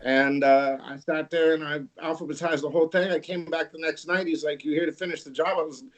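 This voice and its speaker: A man's voice: fairly high pitch, fairly even intonation, a little fast. A middle-aged man from California, a radio DJ guest telling long, laid-back stories with laughs. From Santa Barbara, California. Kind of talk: casual interview storytelling.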